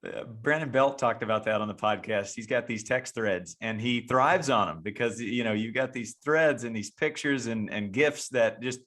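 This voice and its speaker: A man's voice: mid-range voice, normal speed, hardly any pauses, fairly bright tone. A man hosting a casual interview and coaching kids on the side, rambling with many restarts and repeated words. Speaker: podcast host and youth baseball coach.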